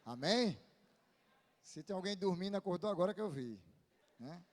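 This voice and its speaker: High-voiced northeastern Brazilian preacher in reverberant hall. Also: male voice, expressive, moderate pace. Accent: northeastern Brazilian, from Paraíba. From Paraíba, northeastern Brazil. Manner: informal, often seeks response with short tag questions. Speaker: visiting Baptist pastor preaching. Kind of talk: sermon to a congregation. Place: church pulpit, amplified in a large hall. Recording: long reverberant hall sound.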